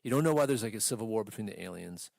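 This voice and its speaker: A man chatting casually as a podcast host, ranting through a story in a profane, exasperated, animated way. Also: lowish voice, rapid-fire, creaky, no echo. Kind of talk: casual movie rant chat.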